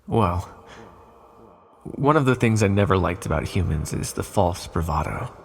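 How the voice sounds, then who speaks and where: A man voicing an ancient, imprisoned villain in an ASMR roleplay, condescending yet lonely and flirtatious, with smug, articulate, softly teasing delivery. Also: expressive, normal speed, slight background noise. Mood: condescending, approving. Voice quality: deep, gravelly, husky. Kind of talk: ASMR roleplay monologue to the listener.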